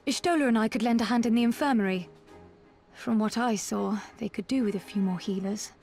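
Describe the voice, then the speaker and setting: An actress playing a gentle, helpful healer in a video game, earnest and polite. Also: female voice, a little high, brisk, soft background music, lightly acted. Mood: helpful, concerned. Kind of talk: scripted video game dialogue.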